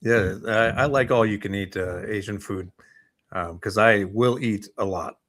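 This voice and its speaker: Low-voiced North American man, casual banter. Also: measured pace, a bit bright. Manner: laid-back, bantering. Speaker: talk show co-host. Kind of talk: casual joking chat.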